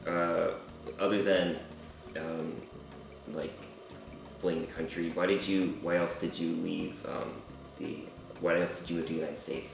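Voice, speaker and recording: A man working through interview questions in a hesitant, halting way. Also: low voice, little pitch movement, gravelly. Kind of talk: informal scripted interview questions. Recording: very echoey room, music behind.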